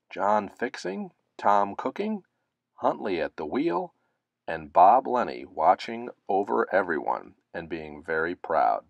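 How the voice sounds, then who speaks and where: A man reading a children's picture book aloud, phrasing it deliberately and stressing key words. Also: on the low side, gravelly, no echo. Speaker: storybook reader.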